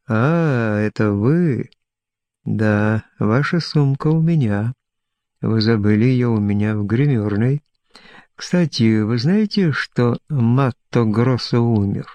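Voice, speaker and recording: An aging, lonely showman played by a male audiobook reader rambles with boastful self-assurance. He speaks slowly in short, insistent phrases, and the sound is close and dry. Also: medium pitch, darkish tone, dry recording. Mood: wistful bravado. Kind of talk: audiobook character dialogue.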